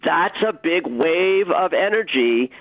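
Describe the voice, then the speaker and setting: A man speaking as a guest astrologer in a conversational radio interview, explaining things in an animated, emphatic way with expressive phrasing. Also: a little high, slow pace, narrow, tinny audio.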